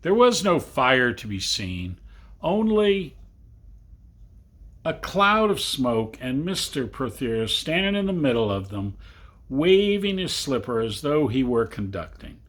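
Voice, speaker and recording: A man narrates a storybook in a husky voice. He takes his time, phrasing each image deliberately with long pauses. His intonation rises and falls widely and dramatically. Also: mid-range voice, very animated, fairly bright tone. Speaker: reader. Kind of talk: expressive storybook narration for children.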